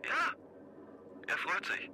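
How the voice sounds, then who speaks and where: A man's voice: slow, sharp tone. A man playing a casual, evasive brother heard through a telephone in a radio drama, speaking in an offhand way. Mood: offhand, guarded. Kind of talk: radio drama phone dialogue. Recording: very noisy, distant phone line.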